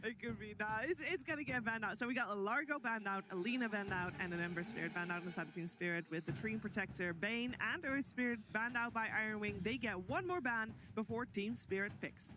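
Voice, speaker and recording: A woman's voice: medium pitch, fairly quick, few pauses. A woman hosting a live esports panel and introducing what comes next.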